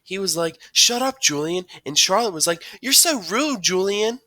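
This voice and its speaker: A man's voice: high voice, animated, moderate pace, sharp tone, dry recording, voice pushed up. A man voicing a shy, sensitive young schoolboy in an audiobook, hesitant, earnest and understated. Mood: earnest, insistent. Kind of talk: audiobook character dialogue.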